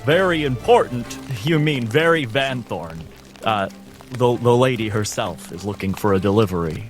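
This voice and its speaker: Man acting as a lumber worker, deferential, wry, measured and halting, tabletop roleplay, music underneath. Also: mid-range voice, expressive, close to an everyday voice. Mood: polite, wry.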